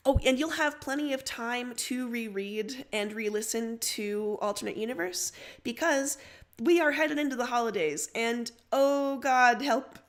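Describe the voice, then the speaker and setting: Higher-voiced woman hosting a story livestream. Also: a little slow. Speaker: livestream host and story reader. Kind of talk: story narration and casual livestream chat.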